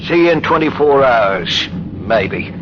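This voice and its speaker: A man's voice: medium pitch, animated, slow pace. An actor playing a sneering, sadistic crewman who taunts prisoners aboard a spaceship, in 1950s radio drama style. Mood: menacing relish. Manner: mocking, taunting. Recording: noisy, dull vintage radio recording.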